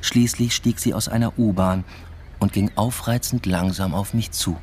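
An actor playing an aimless doctoral student in a radio drama, speaking in an understated, reflective way. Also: male voice, lowish voice, fairly even intonation, normal speed, darkish tone, slight background noise, close to an everyday voice. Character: aimless, evasive male German doctoral student narrating his New York stay. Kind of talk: literary radio drama, first-person narration and dialogue.

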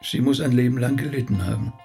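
An actor playing a thoughtful, courteous middle-aged rabbi in a radio play, with a gravelly, husky voice and a hesitant way of talking. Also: male voice, medium pitch, fast, mellow, soft background music. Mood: reflective. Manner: reflective, hesitant, polite.

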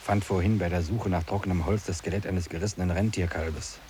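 Man playing a thoughtful hiker, low voice, lyrical delivery, old radio play, faint background noise. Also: steady tone, fairly quick, a little mellow, lightly acted. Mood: musing, uneasy. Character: nature-loving male hiker, dreamy and thoughtful. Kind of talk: 1950s German radio drama acting.

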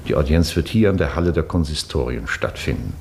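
Very deep, formal monsignor. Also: male voice, animated, creaky, a little mellow, slight background noise, heavily acted. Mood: grave, formal. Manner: formal, measured, authoritative. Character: dignified, stern Vatican monsignor. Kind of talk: radio play acting.

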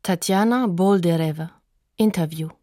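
An actress as a neutral announcer, naming witnesses and sources in a scripted radio documentary. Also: female voice, fairly low pitch, moderate pace, dark tone, dry recording, clean studio sound, natural voice. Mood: neutral, factual.

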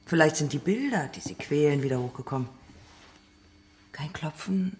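A woman playing a probing investigator in a radio drama, questioning in a controlled, provoking manner with a creaky voice. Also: sits low, lively intonation, moderate pace. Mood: probing, pointed. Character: probing, needling female investigator interrogating a witness.

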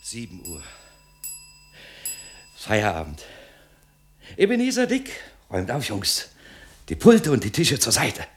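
A man's voice: mid-range voice, huge pitch swings, takes it slowly, pauses often. A man playing a jovial, generous old merchant boss with a hearty manner in a 1960s German radio drama. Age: older. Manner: hearty, chuckling, exclamatory.

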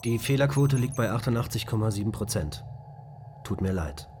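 A calm, factual ship computer. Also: male voice, fairly low pitch, moderate pace, a little hiss or hum. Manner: even, factual.

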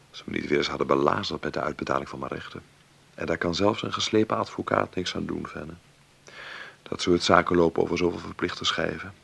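An actor in a Dutch radio play playing a wry, principled filmmaker brother who speaks ironically, with muttered asides. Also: male voice, low-pitched, vocal fry, a bit bright, husky, heavily acted.

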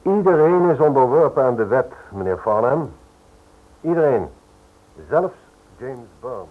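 A man's voice: mid-range voice, animated, measured pace. An actor playing a brusque, cynical male lawyer in a Dutch radio drama, curt and sceptical with his visitor. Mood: firm, cynical. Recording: muffled, lo-fi old radio recording.

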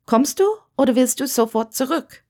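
A woman's voice: sits high, normal speed, warm tone, voice pushed up. A woman reader puts on a friendly witch for an audiobook tale. She is blunt and sure of herself, speaking frankly and matter-of-factly with a mischievous, teasing edge. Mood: breezy, teasing. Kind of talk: audiobook character dialogue.

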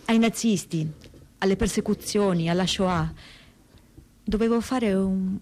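A woman acting an introspective, troubled daughter gives a personal testimony for the theatre. Her voice sits at an average pitch. She talks in a conversational way, pausing and searching as she thinks aloud. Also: a little mellow, lightly acted. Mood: uneasy, searching.

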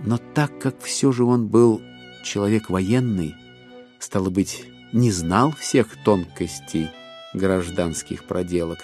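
A man giving an expressive, wryly ironic theatrical narration of a classic novel. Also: lowish voice. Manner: expressive, storytelling with wry irony.

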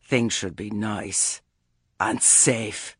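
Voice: mid-range voice, fairly even intonation, drawn-out words, slightly rough, dry recording. A stern old country witch woman from a storybook, as acted out by an audiobook narrator. She speaks tersely and sardonically, grudging every word and expecting to be obeyed. The sound is dry and close. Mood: sardonic.

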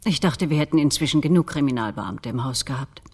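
A woman's voice: extremely low pitch, lively intonation, fast talker, darkish tone, breathy edge, full character voice. An actress playing a haughty, formal lady of a grand house in a radio crime drama, pointed and commanding. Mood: cool, pointed.

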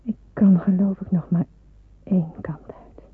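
An actress playing an anguished, dutiful and fearful young nurse in a Dutch radio play, hesitant and brooding, with a breathy, husky voice. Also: female voice, on the low side, fairly even intonation, measured pace. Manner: hesitant, brooding, self-questioning. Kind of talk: Dutch radio play acting, inner monologue. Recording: muffled tinny old radio recording.

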